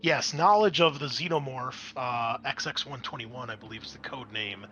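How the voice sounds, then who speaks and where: A man narrating a tabletop game as game master in a casual, improvised way, often halting to think aloud. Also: medium pitch, bright, forceful tone. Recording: clear background noise.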